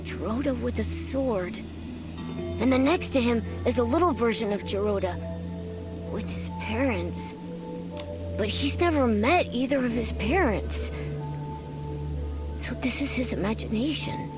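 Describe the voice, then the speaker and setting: A woman's voice: on the high side, animated, a little slow, pauses often, music in the background. A woman voicing a small, eager, childlike companion creature in a video game. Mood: curious, sad. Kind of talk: video game cutscene acting.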